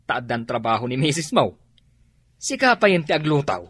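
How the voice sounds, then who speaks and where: Husky, teasing Ilocano drinking buddy. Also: male voice, a little high, very animated, normal speed, a bit bright, no echo, full character voice. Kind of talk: radio drama acting.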